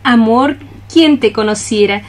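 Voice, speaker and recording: An actress playing a lovesick young maiden cockroach, lyrical and declamatory in a poetic radio play. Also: female voice, on the high side, a little slow, lightly acted. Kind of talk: poetic radio theatre acting.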